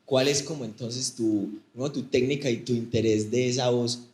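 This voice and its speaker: A man's voice: medium pitch, fairly even intonation, normal speed, darkish tone. A man from Medellín with a paisa accent, an audience member asking a long, rambling question with apologetic asides at a live event. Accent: Colombian, Medellín (paisa). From Colombia. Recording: roomy auditorium sound.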